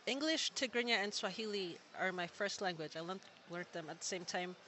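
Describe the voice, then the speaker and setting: Bright, high-voiced young man from Kenya. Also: brisk, slight background noise. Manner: relaxed, chatty, many "like" fillers, laughs easily. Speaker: guest. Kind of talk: casual interview conversation.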